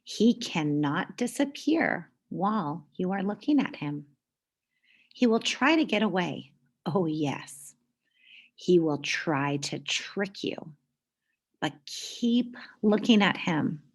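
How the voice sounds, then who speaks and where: A woman voicing a mysterious, playful man in a children's storybook, telling secrets with hushed, dramatic delivery. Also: fairly low pitch, animated, takes it slowly, slightly rough, full character voice. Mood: secretive, instructing.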